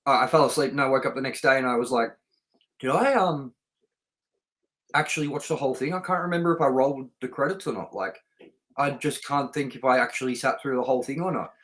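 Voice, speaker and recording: A man's voice: mid-range voice, quick pace, a bit bright. A middle-aged man hosting a film review show, talking in a casual, unscripted, chatty way that rambles through personal anecdotes.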